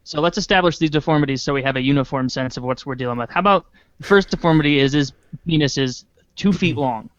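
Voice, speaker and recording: A man co-hosting a podcast, setting up hypotheticals and joking in a wry, deadpan way. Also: fairly high pitch, fast, no room sound, dull audio. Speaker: podcast co-host. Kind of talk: casual comedic chat.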